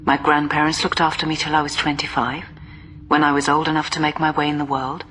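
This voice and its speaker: A woman's voice: low-pitched, little pitch movement, brisk, a bit bright, slight background noise. A woman acting in a radio drama as a guarded, stern woman of a devout future society, clipped, formal and emotionally withholding. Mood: stark, confiding.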